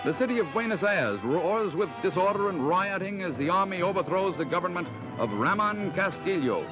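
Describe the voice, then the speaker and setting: A man's voice: sits high, animated, moderate pace. An American man narrating a 1940s newsreel in a dramatic, emphatic declamatory style, on an old film soundtrack. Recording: dull vintage 1940s mono film soundtrack. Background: loud music.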